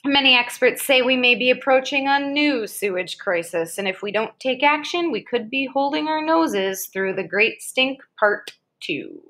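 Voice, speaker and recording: Woman, American, slightly high voice, reading nonfiction aloud conversationally with brief informal asides. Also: lively intonation, moderate pace, few pauses, a bit bright. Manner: conversational, interjects short reactions. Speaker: reader of an article aloud to a child. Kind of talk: read-aloud of an educational article with casual asides.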